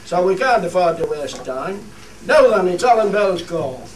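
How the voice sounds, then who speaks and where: A man's voice: sits high, big pitch swings, normal speed. A man acting a bossy, jovial chairman of a rural shepherds' gathering in a dialect radio play, with a Cumbrian accent. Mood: bossy, exasperated. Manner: loud, repetitive calls for order. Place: theatre studio. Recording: distant microphone, clearly noisy room.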